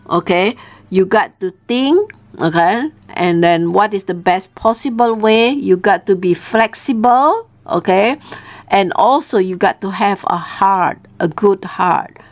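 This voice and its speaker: A woman's voice: medium pitch, lively intonation, takes it slowly, faint music behind. A woman tells a story as an informal lesson, explaining didactically and often checking in with "okay?". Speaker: storytelling teacher and host.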